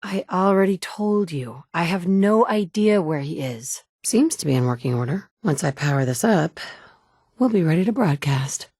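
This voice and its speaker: Casting: a tough, wry survivor mom, slightly low voice, close dry booth sound. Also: female voice, lively intonation, moderate pace, darkish tone, breathy edge, dry recording. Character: tough wasteland survivor mother, blunt and wry. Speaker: player character voice. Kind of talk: game voice lines.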